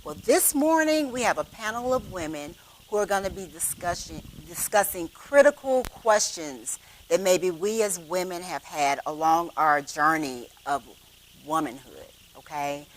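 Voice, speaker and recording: A woman's voice: fairly low pitch, expressive, measured pace, low-quality recording. Woman, fifties, panel host, chatty, faint room noise. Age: 50s. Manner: warm, conversational, checks in with "okay?". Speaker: host, moderator of a women's panel. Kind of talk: church panel discussion. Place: church assembly room.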